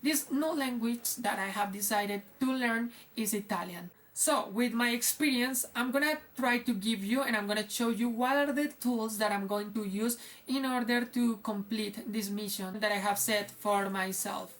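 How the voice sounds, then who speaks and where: A woman's voice: a little high, moderate pace, few pauses, distant mic. A young woman with a Spanish accent, an English teacher chatting casually in a vlog with many restarts. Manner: conversational, many fillers and restarts.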